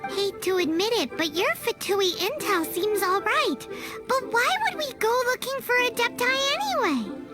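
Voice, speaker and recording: A woman's voice: very high voice, very animated, a little slow, full character voice. A woman voicing a small, childlike fairy companion in a video game, blunt and indignant, in exclamatory bursts. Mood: puzzled, grudging. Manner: indignant, exclamatory, speaks in third person. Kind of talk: video game character acting. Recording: loud music behind the voice. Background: loud game music.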